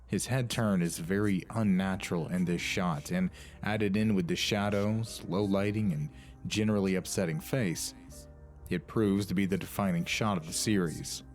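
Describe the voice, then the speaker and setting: Low-voiced man narrating. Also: moderate pace, faint music behind. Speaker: video narrator. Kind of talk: scripted documentary-style narration.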